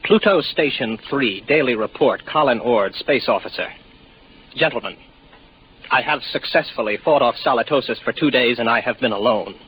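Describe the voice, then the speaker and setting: An actor playing a weary, isolated space officer in a 1950s radio drama, with a wry, deliberate delivery. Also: male voice, medium pitch, a bit bright, natural voice. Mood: anxious, reporting. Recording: dull, noisy 1950s radio recording.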